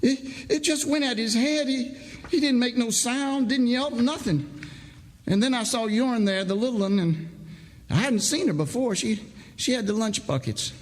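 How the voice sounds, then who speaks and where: In a reverberant hall, a man acts out a nervous country sawmill worker. His voice is pitched up and strained, and he stammers and doubles his words as he explains himself. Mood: shaken, nervous. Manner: stammering, halting, repeating words. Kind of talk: staged short story reading, character dialogue. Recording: reverberant hall, stage reading.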